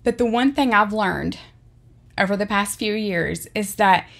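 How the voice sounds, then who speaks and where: A woman's voice: mid-range voice, a little slow, creaky, a bit bright. Earnest Southern woman narrating her vlog. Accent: Southern American. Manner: earnest, heartfelt, plain-spoken. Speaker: vlogger. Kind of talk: voice-over narration and devotional reading.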